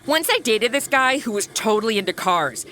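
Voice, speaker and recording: A woman's voice: a little high, expressive, fairly quick, noisy background. A young woman making sarcastic, snarky asides as the lead in a comedy audio drama. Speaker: lead comic character.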